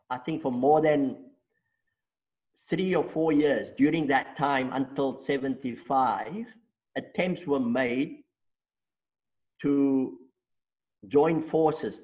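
Older south african man, native afrikaans speaker, reflective storyteller, average pitch, slightly muffled lo-fi sound. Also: a little mellow. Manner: reflective, recounts at length with rhetorical checks. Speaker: interview guest. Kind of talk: storytelling interview.

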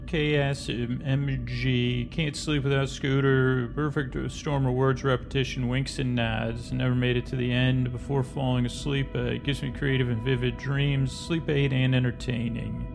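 A man with a gravelly voice rambling haltingly as a podcast host, reading out listener reviews. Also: medium pitch. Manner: meandering, halting delivery. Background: music.

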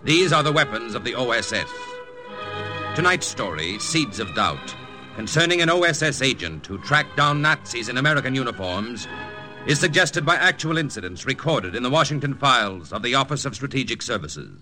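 A male announcer introducing an old radio drama, dramatic and portentous, his delivery rising and falling widely. Music plays clearly behind him. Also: medium pitch, very animated, normal speed.

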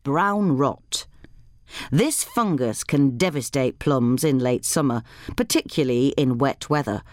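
A woman's voice: low-pitched, no echo, clean studio sound. A woman reading an informational guide as scripted narration. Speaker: podcast reader of a gardening guide.

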